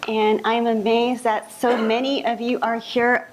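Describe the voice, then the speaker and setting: A woman's voice: fairly high pitch, level tone, unhurried. Friendly woman moderator, faint hum. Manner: friendly, informal, with fillers. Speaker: moderator, reporter. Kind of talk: onstage interview. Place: meeting hall.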